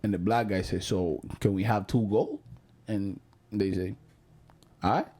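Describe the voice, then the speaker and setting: Deep-voiced laid-back man in his late twenties. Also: fairly even intonation, measured pace, dark tone, husky. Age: late 20s. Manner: laid-back, swears freely, tells stories with many restarts. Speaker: podcast co-host. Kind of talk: casual podcast chat.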